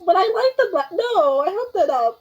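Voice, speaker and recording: A woman's voice: very high voice, expressive, normal speed, highs cut off, faint music behind. A woman gamer making casual, loosely phrased, rambling chat while playing on stream. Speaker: game streamer.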